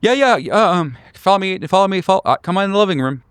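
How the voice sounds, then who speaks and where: A man's voice: sits high, expressive, fairly bright tone, smooth, dry recording, voice pushed up. A man acting a stammering, nervous young man. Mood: flustered, apologetic. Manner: stammering, halting, repeating himself, apologetic. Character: nervous, painfully awkward reclusive young man in his twenties.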